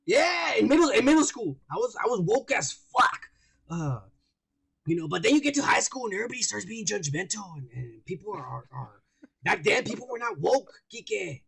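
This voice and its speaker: A raspy, gravelly-voiced man with a high, lively pitch, co-hosting a podcast. He rambles in crude, profane casual talk, sounding high and loose as he drinks. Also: normal speed, rough recording. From United States. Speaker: podcast co-host. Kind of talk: casual chat.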